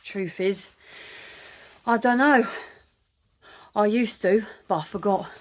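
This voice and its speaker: An actress playing an ageing, weary working-class London prostitute in a radio drama, speaking plainly and colloquially in a coaxing way. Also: female voice, mid-range voice, takes it slowly, natural voice. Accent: London working-class English. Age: older. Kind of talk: naturalistic radio drama acting.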